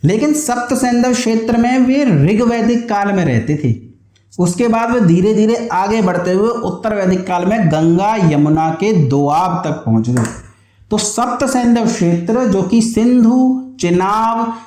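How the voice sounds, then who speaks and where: A high-voiced Indian man teaches an exam-prep class in a lecturing style. He is didactic, restating key points so that they can be memorised. His voice carries a noticeable roomy echo. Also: unhurried, warm tone. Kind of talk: lecture explaining exam questions.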